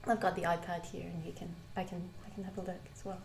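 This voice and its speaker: A woman from Malaysia, teaching a live Buddhist class and asking the group questions, pausing often with ums and restarts. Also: lowish voice, flat delivery, noisy background. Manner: hesitant, many ums and restarts. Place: shrine room with a live class. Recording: roomy hall ambience.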